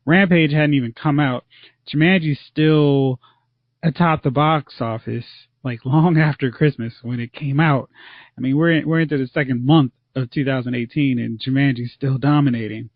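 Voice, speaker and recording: A man's voice: mid-range voice, steady tone, no echo. A man hosting a casual movie podcast, upbeat and chatty as he leads the talk. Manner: upbeat, chatty, quick asides. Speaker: podcast host. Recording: muffled lo-fi dry recording.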